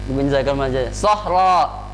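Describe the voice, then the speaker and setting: A man's voice: mid-range voice, normal speed. A man with an Indonesian accent teaching an Arabic grammar lesson, quizzing the class on words and tagging his sentences with "ya". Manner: drills vocabulary, asks the class questions, many "ya" tags. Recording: long hall reverb, faint mains hum.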